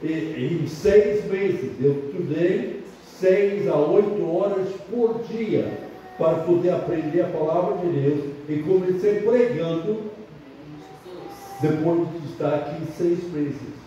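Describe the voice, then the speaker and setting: A man's voice: fairly high pitch, slow, dark tone, a little hiss or hum. An older man preaching a sermon in a church, a foreign-born pastor speaking a learned language, emphatic and repetitive. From abroad, not Brazil. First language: not Portuguese. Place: church hall. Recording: very echoey church hall, distant muffled mic.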